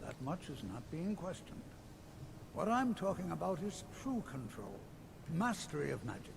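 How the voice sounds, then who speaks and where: An actor playing a kindly, cautious elderly wizard teacher in a fantasy video game, lecturing patiently and with measured care. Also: male voice, fairly high pitch, very animated, vocal fry, background noise. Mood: patient, earnest.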